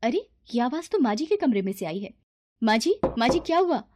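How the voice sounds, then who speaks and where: A woman voicing a gentle young daughter-in-law in a moral story, polite and earnest in manner. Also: a little high, big pitch swings, fairly quick, noisy background. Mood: concerned, caring.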